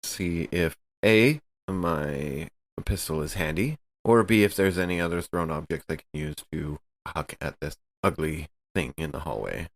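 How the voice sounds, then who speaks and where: A man's voice: a bass voice, measured pace, few pauses. A man playing a tabletop roleplaying game, casually describing his character's actions and dice results.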